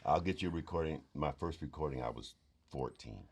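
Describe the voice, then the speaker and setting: Super deep gravelly guy, musician telling stories, swings up and down a lot. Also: a little fast. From Arkansas. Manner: animated storytelling with sudden loud outbursts. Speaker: musician guest. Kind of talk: casual chat.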